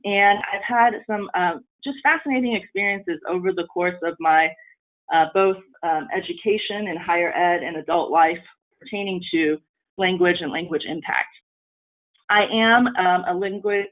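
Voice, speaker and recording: A woman from Taiwan whose first language is Mandarin Chinese, presenting a training webinar in an earnest way with frequent ums and restarts. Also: fairly low pitch. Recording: tinny phone-line audio.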